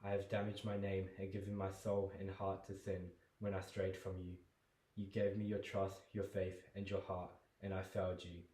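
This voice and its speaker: A man's voice: low-pitched, monotone, normal speed, lightly acted. A young man performing a guilt-ridden condemned man in a jail cell, solemn and pleading. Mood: remorseful. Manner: solemn, builds to furious shouting. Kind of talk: dramatic monologue performance. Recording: roomy echo.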